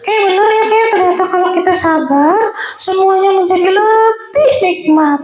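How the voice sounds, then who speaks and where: A man's voice: very high voice, moderate pace, voice pushed up. A man voicing an earnest, eager young boy in a children's moral tale, chatty and hesitant, often repeating words. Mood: grateful, happy. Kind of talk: children's storytelling with character voices. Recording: roomy echo, faint background noise.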